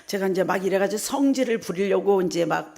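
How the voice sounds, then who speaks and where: A middle-aged woman from South Korea, a preacher giving a personal testimony at a revival meeting in an animated storytelling manner. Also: mid-range voice, fairly quick, gravelly. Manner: animated storytelling, re-enacts her own prayers in direct speech.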